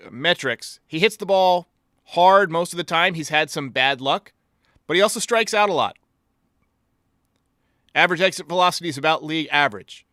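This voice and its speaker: A man's voice: fairly high pitch, sharp tone, dry recording, studio quality. A man hosting a baseball fan podcast, giving blunt, sarcastic opinions as sports commentary.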